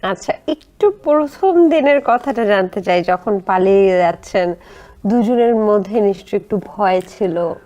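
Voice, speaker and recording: A woman's voice: mid-range voice, animated, unhurried, warm tone, muffled recording. A Bangladeshi woman hosting a conversational interview, asking questions in an informal, inquisitive way.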